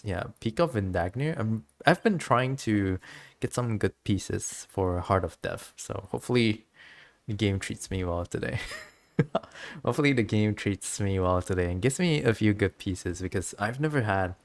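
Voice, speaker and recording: Very low-voiced, chatty woman streamer. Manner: giggly, chatty. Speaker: game streamer. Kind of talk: casual gameplay commentary, reading dialogue aloud.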